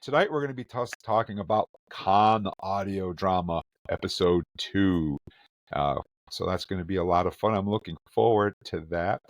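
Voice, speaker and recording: A man's voice: sits low, moderate pace, dry recording. A man hosting a casual podcast, introducing his co-hosts with an upbeat, jokey manner. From Vermont. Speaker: podcast host.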